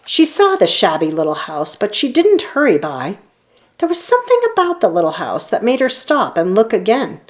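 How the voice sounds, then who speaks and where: A woman reading a children's story aloud in an expressive storytelling manner, leaning on key phrases. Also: mid-range voice, moderate pace. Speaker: storybook reader. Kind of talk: children's read-aloud narration.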